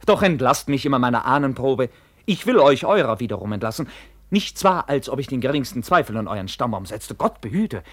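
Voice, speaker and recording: An actor playing a proud, ardent and touchy young knight in a 1950s German radio drama, with impassioned, theatrical, clipped delivery. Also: male voice, medium pitch, very animated, a little fast, fairly bright tone, no room sound. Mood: bitter, sarcastic. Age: young adult.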